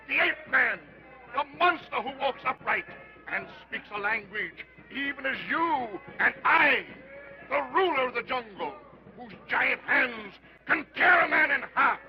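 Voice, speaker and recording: A man playing a loud carnival barker who calls in passers-by with showy patter. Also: very high voice, exaggerated voice, rough recording.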